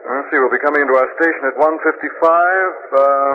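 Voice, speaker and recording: An actor playing an easygoing, urbane gentleman with dry humor in 1940s radio style. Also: on the high side, brisk, lightly acted. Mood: relaxed. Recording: noisy, dull old radio recording.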